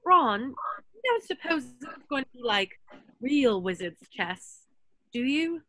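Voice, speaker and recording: A woman's voice: high voice, sing-song, slow, bright, forceful tone, no room sound, full character voice, poor audio. A woman playing an anxious, curious schoolgirl witch in a screenplay table read.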